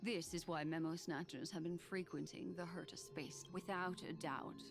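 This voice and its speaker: A poised, enigmatic scholar woman in a video game. Her voice sits fairly low, with measured, formal, deliberate phrasing over soft background music. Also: fairly even intonation, gravelly. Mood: grave, explaining. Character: composed, scholarly, enigmatic female memory keeper. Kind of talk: scripted video game dialogue.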